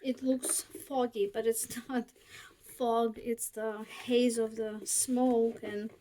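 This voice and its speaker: A young woman talks through a cooking tutorial at an unhurried pace, her voice a little raspy from smoke in the air. She is chatty and fills her sentences with fillers. Her pitch sits fairly high, with a faint hiss of noise under the recording. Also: warm tone. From northern United States. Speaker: cooking video host.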